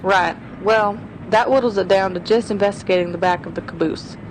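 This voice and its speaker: A woman voicing a sharp young schoolteacher sleuth in an old-time style radio drama, reasoning her way through a murder. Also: mid-range voice, brisk, natural voice. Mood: tense. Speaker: voice actor. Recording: noisy radio drama recording.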